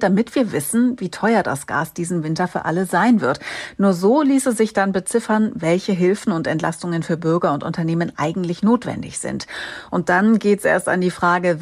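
A woman reporter with a fairly low voice gives a news update. Her delivery is clear, matter-of-fact and steady. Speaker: radio reporter.

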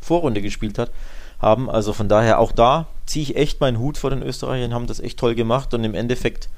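A man's voice: mid-range voice, fast, darkish tone. A man chatting casually as co-host of a football podcast, often tacking short questions onto his sentences. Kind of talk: casual sports podcast chat.

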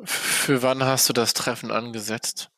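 A man as a gravelly, skeptical vampire. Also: mid-range voice, level tone, measured pace, bright, forceful tone, dry recording. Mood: cool. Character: combative, skeptical male Camarilla vampire. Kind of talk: improvised tabletop role-play audio drama.

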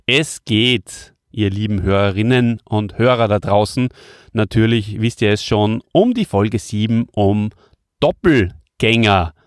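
A man's voice: fairly low pitch, measured pace, no echo, studio quality. A man hosting a podcast, presenting in a casual, conversational way and addressing his listeners. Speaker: podcast host.

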